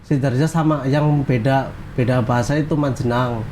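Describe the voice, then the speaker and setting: A man's voice: medium pitch, steady tone, moderate pace, background noise. A man from Cilacap, a guest in a casual interview, giving plain brief answers and retelling events step by step.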